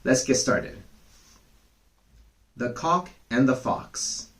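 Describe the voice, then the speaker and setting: A man's voice: medium pitch, animated, extremely slow. Man reading bedtime fables. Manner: gentle, chatty, addresses listeners as babies. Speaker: storyteller. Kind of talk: bedtime story reading with casual asides.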